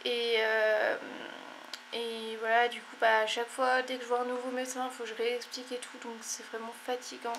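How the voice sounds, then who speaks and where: Congested young woman rambling, flat tone. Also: on the high side, moderate pace, slight background noise. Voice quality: tired. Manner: rambling, many fillers and apologies. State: stuffy, congested. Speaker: vlogger. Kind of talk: casual chat to camera.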